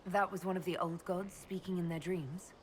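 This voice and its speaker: An actress playing a composed, inquisitive leader of an order in a video game, earnest and level-headed. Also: female voice, fairly low pitch, fairly even intonation, normal speed, a little hiss or hum, close to an everyday voice. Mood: composed, curious.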